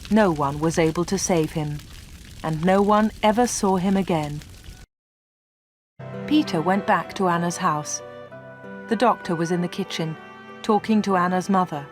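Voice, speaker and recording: A woman reading a story aloud for English learners, in a clear, measured way. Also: on the low side, faint music behind. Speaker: audiobook narrator.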